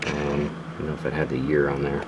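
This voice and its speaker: Really deep voiced guy doing a casual gear demo, kinda halting and unhurried, clear noise behind him. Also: completely flat delivery. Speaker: host of a radio gear channel. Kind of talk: casual product demonstration. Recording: clearly noisy background.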